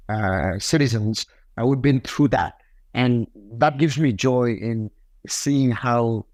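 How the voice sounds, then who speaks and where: A man with a Nepali accent talks as a podcast guest in a conversational style. His pitch is average, and his phrasing is halting, padded with plenty of fillers. The sound is dry, without room echo. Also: a little mellow. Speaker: podcast guest, tech company executive. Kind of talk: conversational interview answers.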